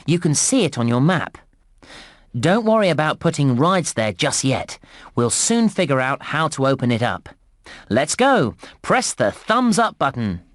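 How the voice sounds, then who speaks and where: A man's voice: fairly high pitch, very animated, unhurried, dry recording, studio quality. A man voicing a cheerful, encouraging theme park guide, narrating a children's game in a scripted, presenter-like delivery. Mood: upbeat, encouraging.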